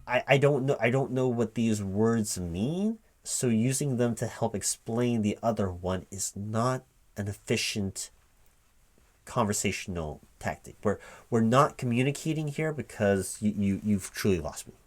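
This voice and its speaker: A man playing a recruiting interviewer in a one-sided spoken ASMR roleplay, halting and repeating his words in a baffled, exasperated way. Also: fairly low pitch, animated, a little mellow. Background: computer keyboard typing.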